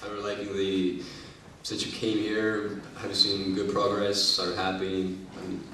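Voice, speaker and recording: A man's voice: sits low, monotone, moderate pace, bright, forceful tone. A man hosting a casual unscripted interview in front of an audience, hesitant and tentative as he speaks. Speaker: talk show host. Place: echoey room with an audience. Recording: echoey room, distant mic, faint noise.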